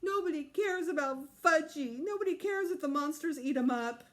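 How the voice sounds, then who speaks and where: A woman's voice: high voice, normal speed, pitched above the performer's own voice, close to an everyday voice. A woman voicing a scared, stubborn little boy who whines insistently in a children's storybook. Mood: tearful whining. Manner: whining, insistent, babyish.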